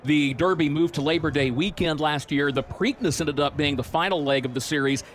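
A man commentating on a horse race broadcast, reading out odds and storylines in a steady informative way. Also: fairly high pitch, fairly quick, a little hiss or hum. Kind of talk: live sports broadcast commentary.

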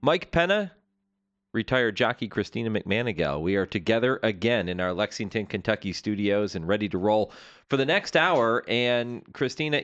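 A man's voice: on the low side, fairly bright tone, dull audio. A man hosting a sports interview show on the radio, with a polished announcer's delivery.

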